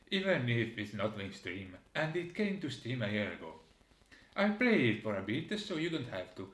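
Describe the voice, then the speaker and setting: A man giving video game commentary in a halting, hesitant way with searching pauses. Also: medium pitch, a bit bright, echoey room. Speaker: host presenting a game critique. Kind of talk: video game commentary, reading dialogue aloud.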